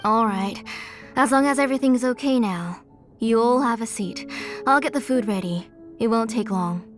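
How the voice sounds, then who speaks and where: A woman playing a gentle, caring mother with quiet sorrow in a video game, soft-spoken and nurturing. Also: fairly high pitch, normal speed, faint music behind, close to an everyday voice.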